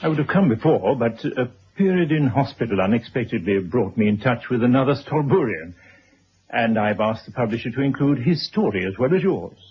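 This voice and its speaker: A courteous, precise biographer in an old radio play. The voice is very husky and lively in pitch, with formal phrasing. The recording sounds dull. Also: male voice, a little fast. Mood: apologetic, polite. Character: courteous, formal male biographer interviewing his subject. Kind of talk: 1970s radio drama acting.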